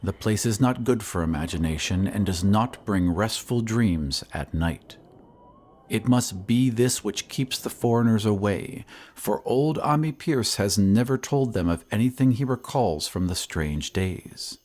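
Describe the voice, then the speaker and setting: A man playing a somber surveyor who recounts an eerie old tale in measured, ominous audio drama narration with hushed phrase endings. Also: on the low side, expressive. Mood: ominous, brooding.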